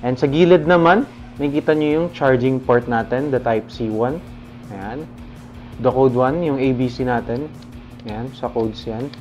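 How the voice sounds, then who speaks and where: A man's voice: mid-range voice, unhurried, a little mellow. Filipino man presenting, dull lo-fi sound. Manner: instructional, addresses viewers informally as guys. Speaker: product presenter. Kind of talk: casual product demonstration with Taglish explanations. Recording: dull lo-fi sound under music. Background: background music.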